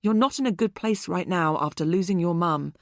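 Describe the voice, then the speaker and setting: A woman reading an audiobook, voicing a calm, patronizing adult man. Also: high-pitched, brisk, dry recording, clean studio sound. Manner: patronizing, unruffled.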